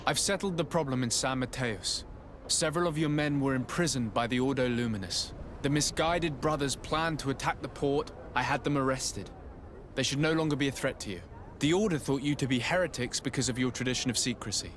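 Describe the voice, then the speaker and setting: A man's voice: mid-range voice, brisk, fairly bright tone, slight background noise, natural voice. An actor playing a polite, earnest young nobleman and diplomat in a video game, speaking articulately. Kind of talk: dramatic game dialogue.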